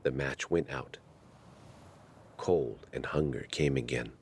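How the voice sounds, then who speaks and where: A man's voice: extremely low pitch, slow, slight background noise. A man narrating a fairy tale from a script in a dramatic storytelling manner.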